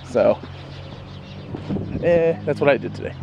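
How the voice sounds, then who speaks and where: A man's voice: mid-range voice, expressive, measured pace, creaky. Guy in his 30s rambling to his camera outside, lots of uhs, bit of outdoor noise. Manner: rambling, many fillers, chuckles often. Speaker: vlogger. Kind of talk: casual rambling vlog. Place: outdoors in a sunny backyard. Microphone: handheld camera at arm's length. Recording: faint outdoor background noise.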